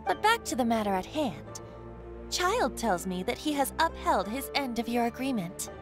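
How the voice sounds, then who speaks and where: Formal, cryptic high-voiced female clerk. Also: very animated, music in the background. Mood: solemn, assured. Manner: formal, measured, reverent. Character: poised masked female bank clerk, loyal, cryptic underling. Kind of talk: video game character voice acting.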